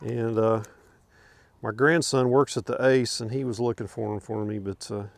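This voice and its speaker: An older man wanders through his backyard garden, talking from behind a handheld camera. His voice is gravelly and sits at an ordinary pitch, and it comes across dry and close despite the open air. He rambles easily, with plenty of fillers and quiet chuckles. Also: steady tone, moderate pace, no echo. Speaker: homesteader showing his garden. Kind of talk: casual garden walkthrough. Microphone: handheld camera, speaking from behind it.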